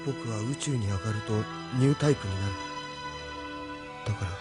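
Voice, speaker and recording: A man's voice: on the low side, brisk, over music, lightly acted. A man voicing an earnest, doubting young man, a reluctant hero, in an audio drama. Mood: bewildered. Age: young adult. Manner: earnest, questioning. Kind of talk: Japanese audio drama acting.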